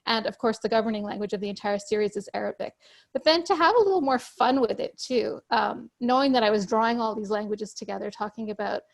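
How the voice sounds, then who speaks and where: A woman whose first language is Urdu, a guest author explaining her ideas in a measured, conversational way over a video call. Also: medium pitch, gravelly. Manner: measured, explanatory, occasional restarts.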